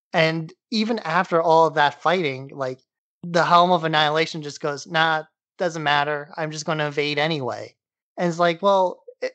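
A man's voice: a little high, fairly bright tone. A man co-hosting a podcast, chatting casually about comics and peppering his sentences with "like". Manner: peppers speech with "like". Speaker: podcast co-host. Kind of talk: casual comic book discussion.

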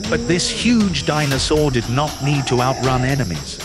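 A man reading a children's nonfiction picture book aloud in a clear, patient, teacherly way. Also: medium pitch, sing-song, normal speed, heavy background noise. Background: background music.

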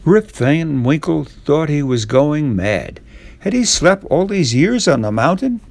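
A man tells a storybook tale in a measured manner. His voice sits a little high for a man, and the sound is close and dry, with hardly any room on it. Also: big pitch swings, vocal fry, no echo. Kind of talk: scripted storybook narration.

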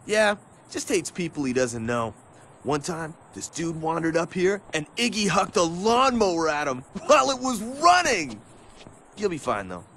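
A man's voice: on the high side, sing-song, a little slow, fairly bright tone, slight background noise, full character voice. A man playing a cocky, territorial skater tough guy in a video game, with brash, slangy talk. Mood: bragging. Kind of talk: video game cutscene acting.

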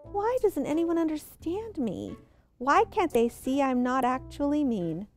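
A woman's voice: high voice, very animated, measured pace, a little mellow, faint music behind, voice pushed up, full character voice. A woman voicing a cheerful, misunderstood male dog who growls as he talks, in a bedtime story. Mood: sad. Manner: friendly voice with playful growls.

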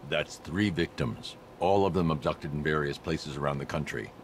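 An actor playing a grim, controlled vigilante detective in a video game, speaking in a clipped, deliberate, deadpan clinical manner. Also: male voice, rumbling low, slight background noise. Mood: grim, analytical. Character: grim adult male masked vigilante detective, controlled and analytical.

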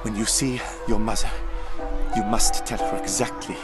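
Man acting a tender, solemn father over loud music. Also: on the low side, little pitch movement, normal speed, lightly acted. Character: doomed loving father, a man parting from his young sons. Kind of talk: audio drama acting.

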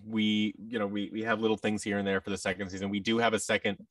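An American man talks casually as an interview guest, in a low voice. His phrasing is halting and often restarts. The sound is dry, though he sits a little away from the microphone. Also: level tone, a bit bright, no room sound, distant mic. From United States. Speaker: guest, voice actor and audio drama producer. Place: large office room, indoors. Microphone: headset with boom-arm microphone on a video call.

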